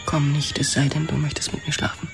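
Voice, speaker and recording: A woman's voice: low-pitched, little pitch movement, fairly quick, creaky. An actress playing a bitter, yearning woman in a noir radio drama, direct and challenging, with music behind her. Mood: wounded, challenging.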